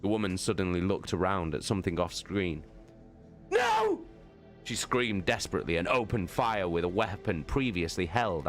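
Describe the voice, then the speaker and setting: A man giving a dramatic, theatrical audiobook narration in a measured way. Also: low-pitched. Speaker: narrator, live reader.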